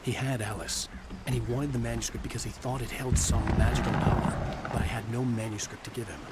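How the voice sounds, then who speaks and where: A man playing a haunted American writer in a dramatic game, intense and troubled. He speaks as a man searching for his wife, with clear noise behind him. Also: mid-range voice, fairly even intonation, a little fast, close to an everyday voice. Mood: brooding. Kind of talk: dramatic game acting and brooding first-person narration.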